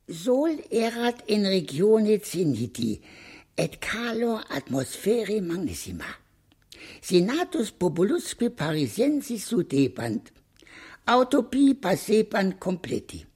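A woman's voice: mid-range voice, huge pitch swings, slow, a little mellow, slightly rough. A woman reader in a radio play, reciting a literary text in a declamatory, mock-solemn manner.

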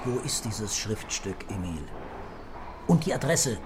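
An actor playing a calm, brooding police commissaire with terse, deliberate authority in a radio crime drama. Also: male voice, on the low side, over a noisy crowd.

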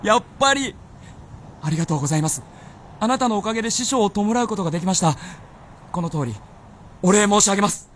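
A hot-headed young gunner, earnest and boyish in a radio drama. The voice is high and swings fervently up and down, with some noise behind it. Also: male voice, expressive, fast, pauses often. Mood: grateful. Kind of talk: Japanese radio drama acting.

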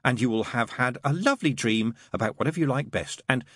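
A man voicing a prim, gentle male angel in an audiobook, with a proper and sweetly earnest manner. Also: mid-range voice, animated, a little fast, husky, no echo, studio quality, voice pushed up.

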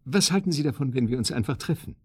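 A man's voice: medium pitch, lively intonation, fast, dark tone, slightly rough, faint music behind. An actor playing an eager, flirtatious man courting a blind date in a radio drama, courteous and a little hesitant. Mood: flirtatious, coaxing. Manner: hesitant, chuckling, courteous, coaxing. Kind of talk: naturalistic radio drama acting, phone conversation.

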